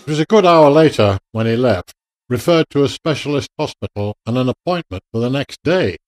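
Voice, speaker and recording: A man narrating a radio drama in a measured, literary storytelling manner. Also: mid-range voice, expressive, no room sound, soft background music.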